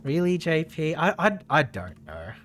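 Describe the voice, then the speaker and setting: A man's voice: on the high side, very animated, measured pace, a bit bright. A man chatting casually through a live game stream, repeating phrases for emphasis, his voice a little tired and strained.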